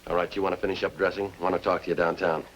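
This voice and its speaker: An actor with an American accent playing a terse, no-nonsense police detective in a 1950s radio crime drama, clipped and deadpan. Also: male voice, deep voice, rapid-fire, slight background noise, close to an everyday voice, rough recording. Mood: curt, official. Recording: band-limited old radio recording, loud music.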